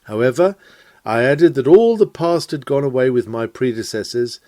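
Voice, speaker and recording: A man reading an old-fashioned sermon lecture aloud in a measured, rhetorical way with emphatic phrasing. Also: mid-range voice, expressive, a little mellow, dry recording. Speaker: reader of a recorded sermon lecture. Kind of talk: scripted read-aloud of a Victorian lecture.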